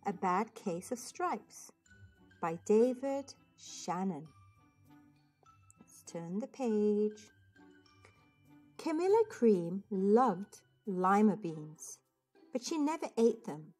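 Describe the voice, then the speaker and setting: A woman hosting a children's read-aloud, telling a picture book story expressively and deliberately. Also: medium pitch, big pitch swings, darkish tone. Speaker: storyteller, host. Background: music.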